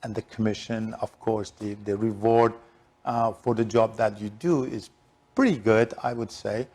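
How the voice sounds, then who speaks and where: Middle aged iranian guy, persian accent, gravelly creaky voice, answering interview questions. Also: lowish voice, normal speed, a little mellow. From Shiraz, southern Iran. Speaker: interview guest, real estate broker.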